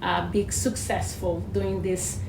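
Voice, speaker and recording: Slow, echoey Brazilian woman co-host. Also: lowish voice, creaky, background noise. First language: Portuguese. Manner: animated, restarts phrases often. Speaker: podcast co-host. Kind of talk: casual teaching chat. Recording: echoey room, faint ambience.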